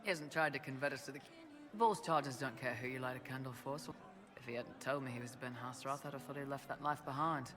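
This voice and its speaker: A man's voice: mid-range voice, fast, natural voice. An actor playing a blunt, loyal male mercenary lieutenant in a fantasy video game cutscene. He talks in plain, no-nonsense soldier language.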